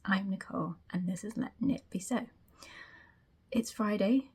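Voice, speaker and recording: A woman hosting a casual vlog, chatting in a rambling, self-interrupting way with a croaky voice. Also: medium pitch, measured pace, a little mellow. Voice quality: croaky morning voice. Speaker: sewing vlog host. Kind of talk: casual chat to camera.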